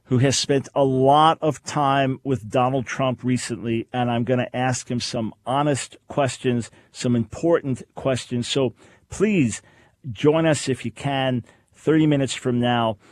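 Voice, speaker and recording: A man hosting a call-in radio show, talking in an animated, preacherly way and acting out quoted voices. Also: medium pitch, unhurried, no room sound. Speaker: radio host. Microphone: studio microphone.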